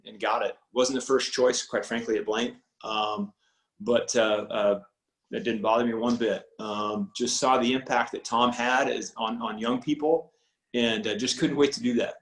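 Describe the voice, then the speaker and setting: A man's voice: fairly low pitch, steady tone, normal speed, a bit bright. Coach in his 40s on a zoom call, roomy echo, keeps restarting sentences. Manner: rambling, many repeated starts and fillers. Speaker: high school football coach. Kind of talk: casual interview over video call. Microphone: video call.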